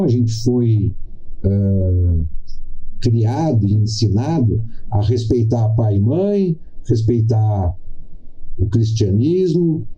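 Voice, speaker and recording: A middle-aged Brazilian man giving a political commentary monologue in a deliberate, didactic way, stressing points with repetition and pauses. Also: medium pitch, warm tone, muffled recording, a little hiss or hum. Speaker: podcast host.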